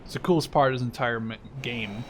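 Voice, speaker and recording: A man's voice: medium pitch, quick pace, a bit bright, slight background noise. A man in his twenties from the Netherlands giving casual, rambling, enthusiastic commentary on a video game. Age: 20s. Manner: enthusiastic, informal, swearing. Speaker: gaming video host.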